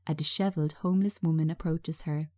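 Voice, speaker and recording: A woman narrating a screenplay in a measured, scripted reading style. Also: deep voice, fairly even intonation, warm tone. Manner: measured, present-tense scene reading.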